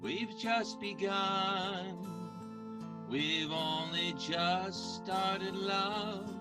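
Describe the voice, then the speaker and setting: A man's voice: high voice, extremely slow, loud music behind the voice. A man playing an earnest, lovestruck vaudeville groom in an improvised radio play, with a sincere, simple manner. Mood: romantic, devoted.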